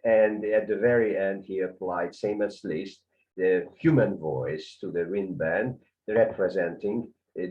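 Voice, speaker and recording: A man with a Hungarian accent, a conductor giving a hesitant lecture full of fillers, rising in pitch on key words. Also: lo-fi sound.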